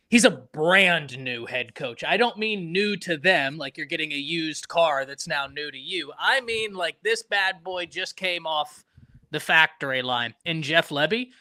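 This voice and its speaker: A man from Texas hosting a casual live-stream sports talk show, animated and talking to chat viewers by name. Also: fairly high pitch, no room sound.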